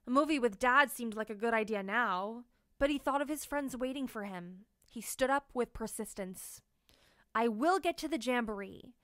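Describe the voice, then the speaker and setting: High-voiced woman reading a children's story. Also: normal speed, dry recording, clean studio sound. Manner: expressive storytelling. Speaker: picture book narrator. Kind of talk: children's read-aloud narration.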